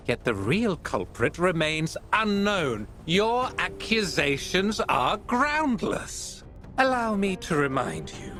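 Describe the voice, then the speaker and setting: A man's voice: high-pitched, fairly bright tone, heavily acted. A man voicing a smug, calculating villain in a video game, speaking in a formal, condescending and theatrical way. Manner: condescending, smooth-talking, formal. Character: smug, calculating male art-dealer villain.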